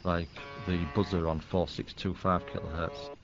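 A man's voice: low-pitched, steady tone, normal speed. A man from England with an English accent, talking casually as an interviewed radio hobbyist, in muffled audio. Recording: muffled audio under loud music.

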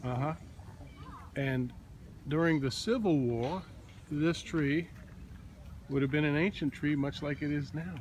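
A man's voice: fairly high pitch, a little slow, pauses often. A man filming a casual travel vlog outdoors, chatting and explaining sights to his companion. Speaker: vlogger filming behind the camera. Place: outdoors in a busy market and park. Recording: loud outdoor background noise.

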